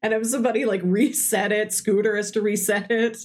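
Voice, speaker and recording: A woman's voice: mid-range voice, steady tone, fairly quick, a little mellow. Woman chatting casually about film. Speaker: podcast co-host discussing a film. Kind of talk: casual chat, film discussion. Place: recorded in person with co-host.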